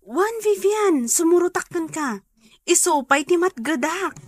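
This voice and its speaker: Very high-pitched, drawling village woman. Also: animated, unhurried. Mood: eager. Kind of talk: Ilocano radio comedy acting.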